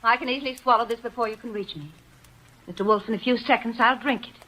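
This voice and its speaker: A cornered poisoner. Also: female voice, fairly high pitch, fast, bright, forceful tone. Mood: defiant. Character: cornered female poisoner, tense, defiant. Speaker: radio drama actress. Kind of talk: 1940s radio acting. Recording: dull old radio transcription.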